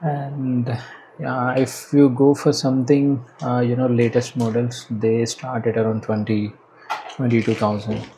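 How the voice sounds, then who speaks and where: A man with an Indian accent giving casual unboxing and installation commentary as a product reviewer, halting and hesitant as he thinks aloud. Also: medium pitch, level tone, warm tone. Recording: clearly noisy background ambience.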